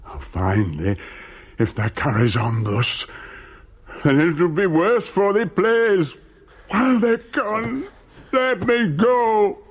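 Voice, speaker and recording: An anguished male ghost with a Northern English dialect. The high, husky voice swoops widely and drags out its archaic pleas and threats very slowly, as radio-play acting. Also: animated, exaggerated voice. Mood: threatening, pleading. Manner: pleading, drawn-out, archaic speech.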